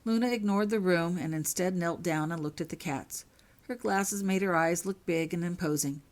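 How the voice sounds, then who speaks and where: A woman's voice: lowish voice, normal speed. A woman narrating a scripted story aloud in an audiobook-like reading. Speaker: author reading own fan fiction aloud.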